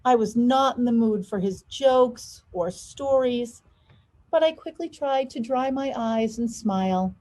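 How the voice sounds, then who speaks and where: A woman reading a children's storybook aloud in an expressive, dramatized storytelling manner. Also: on the high side, a little slow. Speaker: school leader reading aloud.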